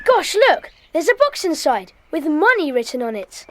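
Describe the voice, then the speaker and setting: A woman playing an excitable, curious young child detective in a children's audio drama. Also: sits high, moderate pace. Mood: excited.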